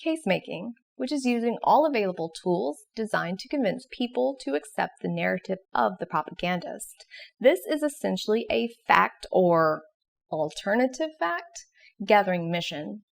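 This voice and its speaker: A woman's voice: mid-range voice, very animated, normal speed, creaky. A woman presenting an educational explainer in scripted narration. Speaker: presenter of an educational video series.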